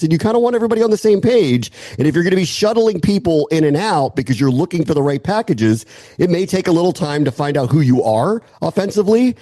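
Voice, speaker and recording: A man's voice: a little high, warm tone, no room sound. An American man talking through football analysis as a guest, conversational and opinionated, often posing questions and weighing the answers aloud. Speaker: sports analyst, podcast guest.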